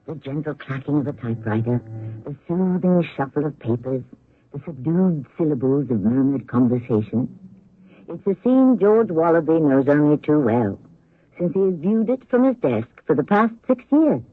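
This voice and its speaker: Husky, theatrical male radio narrator. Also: on the high side, very animated, no room sound. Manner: dramatic, deliberate phrasing. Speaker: host and narrator. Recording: narrow-band old radio recording.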